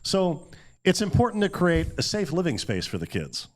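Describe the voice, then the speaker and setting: A man's voice: sits high, wildly swooping pitch, moderate pace. A man co-hosting a comedy podcast, telling stories in a wry way and acting out imagined reactions. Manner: wry, acts out imagined quotes. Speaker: podcast co-host telling the story. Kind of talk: comedic storytelling commentary.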